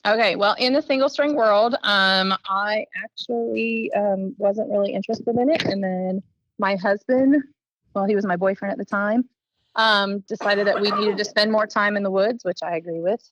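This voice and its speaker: A woman with a Southern US accent, a guest in an interview, telling stories in a chatty, animated way, full of ums and acted-out quotes. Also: mid-range voice, normal speed, no echo, low-quality recording. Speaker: guest, competitive archer and teacher. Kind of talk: casual storytelling in an interview.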